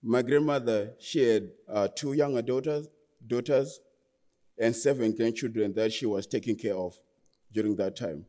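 Earnest young Zimbabwean man, mellow voice. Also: mid-range voice. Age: 20s. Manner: earnest, halting, restarts phrases. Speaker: guest speaker. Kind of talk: personal testimony talk. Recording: long hall reverb.

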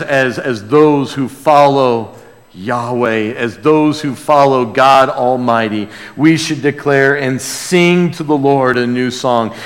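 A man preaching and praying to a congregation, earnest in manner, swelling from hushed to emphatic. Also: medium pitch. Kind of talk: sermon and prayer to a congregation. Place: large church room or hall. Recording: reverberant hall sound.

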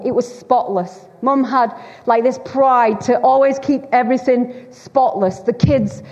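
A woman's voice: on the high side, darkish tone. A middle-aged woman with a Lancashire accent, preaching a chatty, teasing sermon to a church congregation. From Bolton, Greater Manchester, England. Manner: chatty, teasing, self-deprecating. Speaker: guest preacher. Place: church hall. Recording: dull, long hall reverb.

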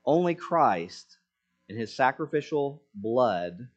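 Male pastor, mid-pitched, earnest sermon delivery with rhetorical questions, slightly muffled lo-fi recording. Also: very animated, takes it slowly. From Senegal.

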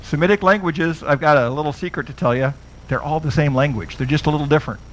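Middle-aged man with a fairly high voice, chatty Bible lecturer who asks his listeners questions. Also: quick pace, poor audio. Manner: conversational, asks the audience questions. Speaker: Bible teacher.

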